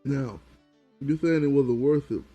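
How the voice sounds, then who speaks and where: A man's voice: mid-range voice, a little slow, mellow, highs cut off, soft background music. A man co-hosting a relationship podcast in casual chat, pressing his point and repeating it. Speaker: podcast co-host.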